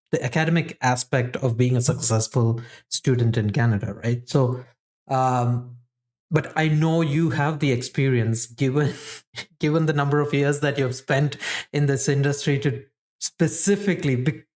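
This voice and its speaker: A man's voice: medium pitch, darkish tone. A man hosting a podcast interview, speaking conversationally with frequent fillers. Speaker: podcast host.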